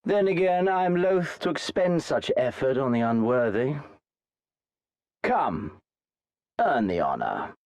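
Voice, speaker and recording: An actor playing an arrogant, bored imperial warrior in a game, with haughty, mocking, formal old-fashioned speech. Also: male voice, medium pitch, very animated, slow pace, pauses often, a little mellow. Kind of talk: dramatic game cutscene acting.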